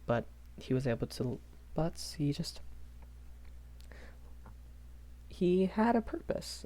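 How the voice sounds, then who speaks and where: A man's voice: medium pitch, a little mellow. A young man playing a shy, kindly magic academy professor in a rambling audio roleplay, stammering and hesitant. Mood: reminiscing, hesitant. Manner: hesitant, stammering, nervous chuckles, apologetic.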